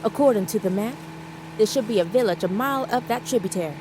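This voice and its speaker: An actress playing a commanding, wry 1940s spy who narrates an audio drama with confident, dramatic delivery. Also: female voice, medium pitch, quick pace, background noise, close to an everyday voice. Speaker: narrator and lead character. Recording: music under the voice.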